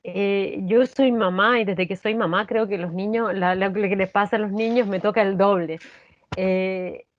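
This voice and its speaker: A woman with a Chilean accent answering in an interview, reflective and earnest, often halting and repeating phrases. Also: mid-range voice, steady tone, a little hiss or hum. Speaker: actress, interview guest. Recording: dull, lo-fi sound.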